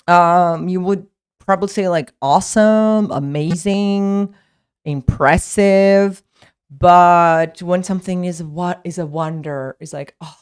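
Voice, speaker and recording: A young woman, Portuguese by first language, gives a casual English lesson in a slow, unhurried way. She is chatty and loose, full of "like" and "um" and restarting her sentences. Her pitch sits in a middle range. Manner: chatty, many fillers and restarts. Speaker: English teacher.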